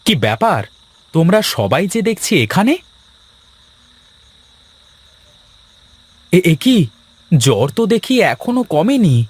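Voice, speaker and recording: A man playing a husky, expressive village husband. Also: high voice, moderate pace, no room sound, full character voice. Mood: concerned. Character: well-off village husband, fretful yet caring, and his neighbour. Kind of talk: Bengali cartoon fairy-tale voice acting.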